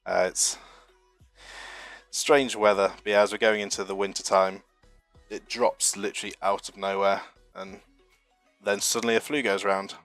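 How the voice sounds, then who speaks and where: A young British-sounding man getting over a flu chats loosely through a live stream, rambling and poking fun at himself between fillers. His voice sits low and stays fairly flat, heard up close and dry, with faint music under it. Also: normal speed, long pauses, no room sound. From United Kingdom. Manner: chatty and rambling, self-deprecating, with frequent fillers. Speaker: streamer hosting a marble racing channel. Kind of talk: casual live-stream chat reading chat messages.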